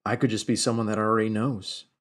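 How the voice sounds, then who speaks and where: A man's voice: on the low side, steady tone, fast talker, lightly acted. A man playing an earnest, worried investigator in a tabletop audio drama, pleading politely with a slight stammering delivery.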